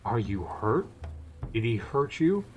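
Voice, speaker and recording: A man's voice: medium pitch, very animated, slow pace, darkish tone, lo-fi sound, soft background music. A man voicing a courteous elf who is indignant and sympathetic, reading visual novel game dialogue. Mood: concerned.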